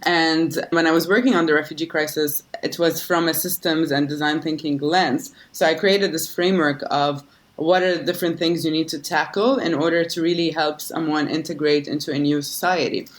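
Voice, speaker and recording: A woman's voice: low voice, steady tone, moderate pace. Thoughtful woman guest from Lebanon. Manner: thoughtful, with fillers and restarts. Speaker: guest, social entrepreneur. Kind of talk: conversational interview answers.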